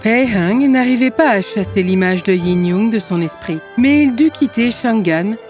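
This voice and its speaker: A woman's voice: mid-range voice, animated, moderate pace, darkish tone. Woman narrating a fairy tale over music. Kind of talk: scripted storybook narration. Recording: music behind the voice.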